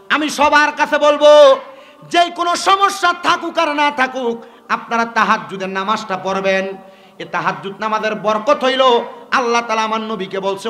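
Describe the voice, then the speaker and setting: A man's voice: sits high, bright, forceful tone. A man delivering an Islamic sermon, exhorting his listeners directly and prompting them to respond. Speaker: Islamic preacher. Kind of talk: waz sermon to a gathering.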